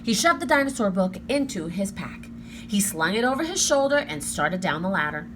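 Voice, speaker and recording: A woman narrating a children's book aloud with expressive, careful, dramatic phrasing. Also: mid-range voice, normal speed, noisy background. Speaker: storybook reader.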